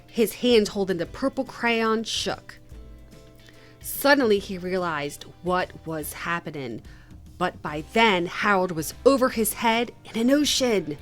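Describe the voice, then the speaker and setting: A woman reading a children's picture book aloud in an expressive, measured storytelling manner. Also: mid-range voice. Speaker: read-aloud host.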